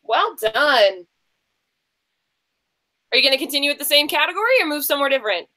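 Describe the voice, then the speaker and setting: A woman's voice: sits high, big pitch swings, brisk, sharp tone. A woman hosting a casual online book quiz in a chatty, encouraging way. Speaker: quiz show host.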